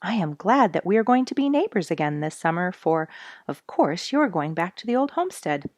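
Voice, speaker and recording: A woman's voice: lowish voice, sing-song, fairly quick, darkish tone, no room sound. A woman voicing a jolly, carefree male robin in a children's storybook, hearty and breezy. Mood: cheerful greeting.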